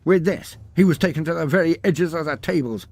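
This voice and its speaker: Gravelly, husky man narrating dramatically. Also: fairly high pitch, brisk, darkish tone, soft background music. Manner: theatrical, grandiloquent, with wry asides. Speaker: lore video narrator. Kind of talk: dramatic scripted story narration.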